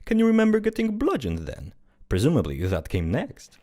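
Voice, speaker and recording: A performer voicing a brisk, dry afterlife official with an officious, mildly bored manner in an amateur audio drama. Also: mid-range voice, wildly swooping pitch, a little mellow.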